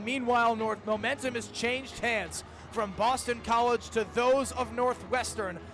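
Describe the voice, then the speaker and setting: Very high-pitched young male sports play-by-play announcer. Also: fairly bright tone. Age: young adult. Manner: detailed running description with dramatic phrasing. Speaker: play-by-play broadcaster. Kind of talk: live sports radio commentary. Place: outdoors at a lacrosse stadium. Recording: clear outdoor stadium noise behind.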